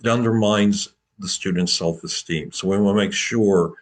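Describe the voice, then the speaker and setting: Low-voiced man advising applicants. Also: normal speed. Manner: relaxed, explanatory, with repeats and restarts. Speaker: program admissions advisor. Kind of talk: answering questions at an online open house. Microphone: video call.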